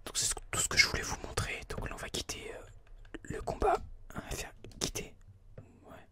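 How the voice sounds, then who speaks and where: A person whispering informal, hesitant gameplay commentary in an ASMR style, thinking aloud close to a binaural microphone. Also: moderate pace. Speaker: video game streamer, ASMR host.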